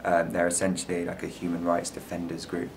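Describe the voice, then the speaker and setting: A man with a London accent talks about his film in a relaxed way, with plenty of fillers. His voice sits very low and stays level, with little rise and fall. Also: fairly quick, noisy background. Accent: London English. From England. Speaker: filmmaker guest. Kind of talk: panel interview.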